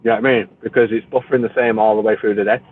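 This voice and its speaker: A man's voice: fairly low pitch, flat delivery, very fast. A British man in casual group chat, blunt and teasing in a very colloquial way. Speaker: community chat participant. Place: online voice chat. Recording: tinny phone-like line, faint noise, clicks.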